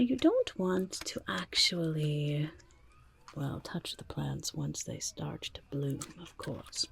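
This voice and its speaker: A woman voicing a quirky, shifty goblin merchant in a whispered ASMR roleplay, breathy and crackly, with halting, drawn-out salesmanship and muttered asides. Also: low voice, darkish tone, full character voice. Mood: sly sales pitch. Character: quirky shifty goblin merchant peddling grotesque wares, eager and defensive.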